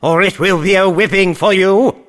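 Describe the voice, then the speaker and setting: A man's voice: high-pitched, steady tone, moderate pace, a bit bright. An actor playing a drunken, domineering male guest who scolds his inferiors, in a dramatized audiobook. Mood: threatening scorn. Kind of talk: dramatized audiobook character acting.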